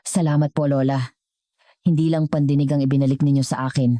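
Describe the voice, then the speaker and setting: A woman's voice: a bass voice, level tone, a little fast, mellow, no room sound, clean studio sound. A woman with a Filipino accent voicing an earnest, respectful young man in a dramatic story. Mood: grateful. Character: grateful, devoted young man in his twenties.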